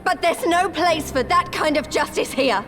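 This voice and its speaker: An actress playing a fierce, righteous young freedom fighter in a game cutscene, blunt and impassioned. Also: female voice, very high voice, normal speed, over music. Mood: angry, accusing. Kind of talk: dramatic game cutscene acting.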